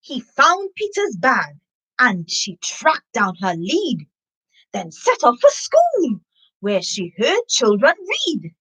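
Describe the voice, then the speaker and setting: A woman's voice: a little high, takes it slowly, slightly rough, low-quality recording. A woman hosting a live story time for children, chatting to listeners and reading a picture book expressively. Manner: animated storybook delivery with sniffing and asides to listeners.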